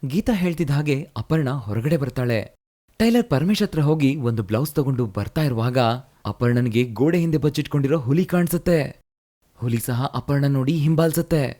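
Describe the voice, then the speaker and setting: A man narrating a moral fairy tale in a brisk, plain storytelling manner. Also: mid-range voice, lively intonation, mellow.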